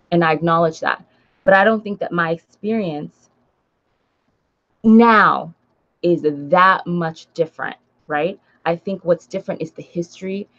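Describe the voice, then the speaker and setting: A woman with an American accent talks casually to livestream viewers in a low voice with plenty of vocal fry. She stresses her points by repeating them. The audio is a little dull and lo-fi. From Nigeria until age seven, then raised in the United States. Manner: emphatic, repeats phrases for stress, checks in with "right?". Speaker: book vlogger and writer hosting a livestream. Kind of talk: casual livestream chat, responding to comments.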